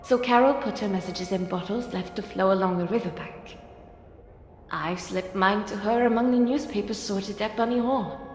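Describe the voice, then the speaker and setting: An actress playing a wistful girl from France who tells of her lost friend in a measured, literary way, for an animated game. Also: mid-range voice, faint music behind, natural voice. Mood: reflective. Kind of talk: dramatic storytelling in an animated game cutscene.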